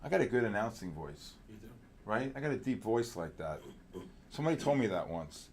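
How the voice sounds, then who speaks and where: A man's voice: fairly low pitch, very animated, darkish tone, a little hiss or hum. A middle-aged man with a New York accent runs a live market stream. He talks in loose, rambling, jokey bursts and calls out viewers by name. Now and then he stretches out a name like a ballpark announcer. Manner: drawn-out announcer-style calls. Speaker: trading livestream host. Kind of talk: casual live market chat.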